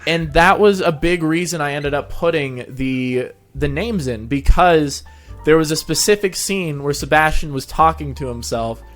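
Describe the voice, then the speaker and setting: A man's voice: fairly high pitch, moderate pace, fairly bright tone. A man co-hosting a casual interview chat about his channel, speaking conversationally. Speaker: co-host and narrator of a story-reading channel. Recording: background music under the voice.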